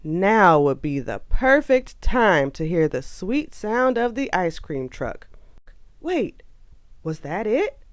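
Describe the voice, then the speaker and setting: A woman reads a children's story with expressive, animated delivery. Her pace is slow and her pitch moves freely, recorded close and dry over faint music. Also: no echo. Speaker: storybook narrator. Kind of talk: scripted children's read-aloud narration.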